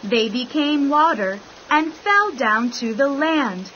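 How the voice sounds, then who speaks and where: A woman narrating a scripted children's bedtime story in deliberate, careful phrases. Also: high-pitched, animated, a little hiss or hum. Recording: dull sound, soft music behind.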